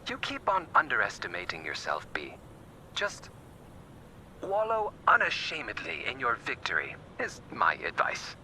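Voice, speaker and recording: A man's voice: medium pitch, huge pitch swings, measured pace, pushed and bright, a little hiss or hum, exaggerated voice, rough recording. A man playing an encouraging, folksy teammate in a video game, with a hesitant, chatty manner.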